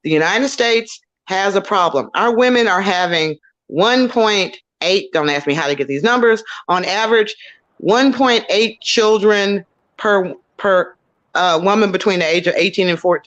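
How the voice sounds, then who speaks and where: A woman on an online panel, talking loudly and emphatically and repeating her key words. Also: on the low side, moderate pace, highs cut off. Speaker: panelist on legal topics.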